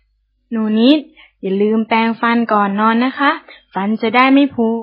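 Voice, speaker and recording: A woman's voice: a little high, moderate pace, lightly acted. A woman playing a nagging older girl cousin. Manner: polite nagging. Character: bossy older girl cousin who nags kindly. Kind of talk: storybook voice acting. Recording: dull lo-fi recording.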